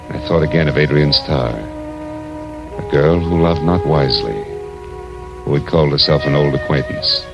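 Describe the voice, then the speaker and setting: An actor playing a hardboiled, world-weary private detective in old American radio noir style, with a wry, clipped delivery. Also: male voice, a bass voice, exaggerated voice. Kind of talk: 1940s-50s American radio noir acting, narration. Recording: dull vintage radio recording, music behind.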